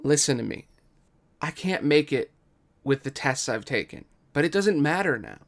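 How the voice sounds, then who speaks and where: A man's voice: on the high side, expressive, normal speed, creaky. A man voicing an eager, ambitious young brother who coaxes and urges, in a dramatic story reading. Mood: urgent coaxing. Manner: urging, coaxing.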